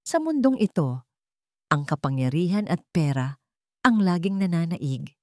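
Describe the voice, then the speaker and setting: A woman's voice: fairly low pitch, sing-song, unhurried, dark tone, no room sound, clean studio sound. A woman voicing an arrogant, ruthless old rich father in an audio drama, boastful and dismissive.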